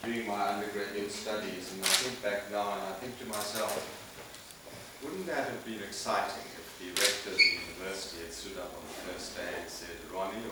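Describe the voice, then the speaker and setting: A man from Zimbabwe giving a public lecture as guest speaker, lively, jumping between loud bursts and quiet asides. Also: low-pitched. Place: large lecture hall. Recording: echoey lecture hall, mic far off, background noise.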